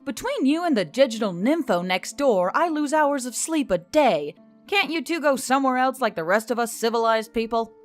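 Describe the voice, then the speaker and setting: A man's voice: very high-pitched, very animated, moderate pace, soft background music, voice pushed up. A man voicing a fussy, irritable military woman in an audiobook story. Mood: exasperated.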